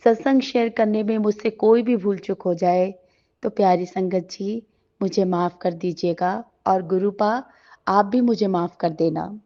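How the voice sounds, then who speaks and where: A middle-aged woman with a sore throat, sharing a devotional gratitude talk at home before a shrine, in a gentle, halting way with many pauses. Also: medium pitch. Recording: slightly dull lo-fi recording.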